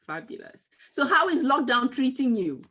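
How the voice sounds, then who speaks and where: A woman hosting a monthly interview series on a video call, effusive in her introductions and speaking slowly. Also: sits high, very animated, pushed and bright, rough recording. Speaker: host and company CEO. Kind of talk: hosted interview.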